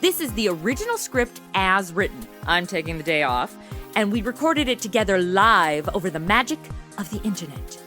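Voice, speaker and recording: A woman's voice: mid-range voice, sing-song, moderate pace, sharp tone, soft background music. A woman hosting a podcast, reading an upbeat scripted introduction to listeners. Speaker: podcast host.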